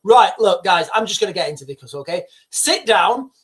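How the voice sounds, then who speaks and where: A man's voice: sits high, moderate pace. A middle-aged man hosting a casual news livestream, talking straight to his viewers with many restarts and asides. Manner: excitable, addresses viewers directly, rambling with restarts.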